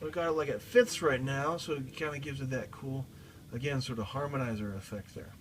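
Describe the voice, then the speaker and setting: A man giving a casual, off-the-cuff pedal demo as a guitar teacher, explaining informally and a little haltingly. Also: mid-range voice. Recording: guitar playing loudly alongside the voice.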